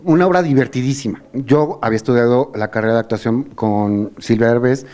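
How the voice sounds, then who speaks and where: A man's voice: mid-range voice, brisk, dark tone. Mexican man hosting a casual chat. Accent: Mexican Spanish. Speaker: host.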